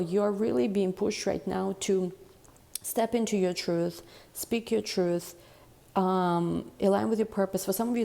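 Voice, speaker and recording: A woman giving a casual tarot reading in a deep, chesty voice, rambling and reflective with many fillers. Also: creaky, dark tone. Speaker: tarot reader.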